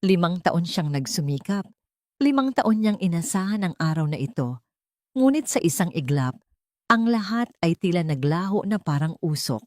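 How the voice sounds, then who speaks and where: A woman narrates a dramatic audio story in a low register. The recording is dry and close, and her delivery is measured and solemn. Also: big pitch swings, darkish tone, no room sound.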